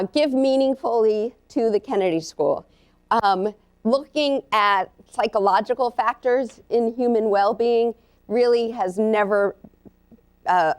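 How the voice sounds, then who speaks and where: A woman professor giving a live lecture to an audience, earnest and halting, with many ums and uhs. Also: medium pitch. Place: lecture room.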